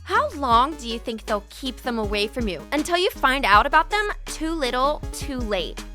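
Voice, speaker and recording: Actress as taunting teen schoolgirl, high bright voice, cartoon drama with music behind. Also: sing-song, moderate pace, full character voice. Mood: haughty, scornful. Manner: taunting, sassy. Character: spirited, sassy teenage high school girl. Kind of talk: cartoon fan drama acting.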